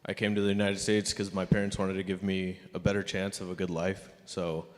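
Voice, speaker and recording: A man in his twenties giving a fluent, relaxed self-introduction at a citizenship ceremony. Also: low voice, fairly quick. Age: 20s. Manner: fluent, relaxed, native-sounding. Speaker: new citizen, chemical engineer. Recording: handheld mic in a reverberant hall.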